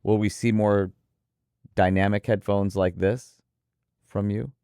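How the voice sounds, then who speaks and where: A man with a very low voice runs a relaxed interview, circling his questions with restarts and second thoughts. The sound is close and dry, with almost no room echo. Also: takes it slowly, clean tone. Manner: thinks aloud with restarts and hedges. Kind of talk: casual conversational interview.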